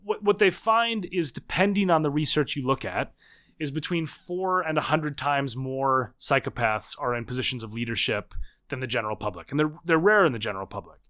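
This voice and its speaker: Fast-talking man with frequent restarts. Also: medium pitch, animated, pushed and bright. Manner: quick, many restarts and repeated words. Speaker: podcast guest, author. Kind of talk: conversational interview.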